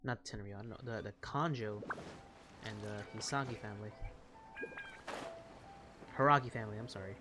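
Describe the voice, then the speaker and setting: A man's voice: fairly low pitch, normal speed, pauses often. A man giving casual gameplay commentary as a streamer, rambling and often correcting himself. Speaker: gaming streamer. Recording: game music behind voice. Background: game music.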